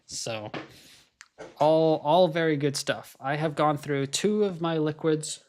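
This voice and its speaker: A man's voice: a little high, slow, a little mellow. A young man with an American accent, born in Scotland, hosting a casual live stream and chatting while he watches qualifying. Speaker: livestream host.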